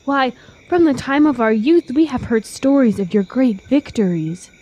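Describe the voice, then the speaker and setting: A woman's voice: on the high side, lively intonation, normal speed, dark tone. A woman playing a naive, yearning young traveler in a fantasy audio drama, speaking in a wide-eyed, wistful, formal way. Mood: awed.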